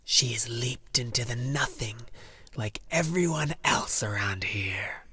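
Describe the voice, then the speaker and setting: A man voicing a dying werewolf in a storybook, bitter, menacing and weary, with a breathy, whispery growl. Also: medium pitch, expressive, pushed and bright, no echo, full character voice. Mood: bleak. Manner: slow, drawn-out, scornful delivery.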